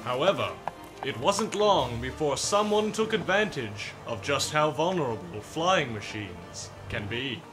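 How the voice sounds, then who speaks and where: A man's voice: medium pitch, animated, unhurried, over music. A man narrating as a theatrical, stern WWI flight instructor, pompous and declamatory, with old-fashioned wording in the style of a vintage military training film.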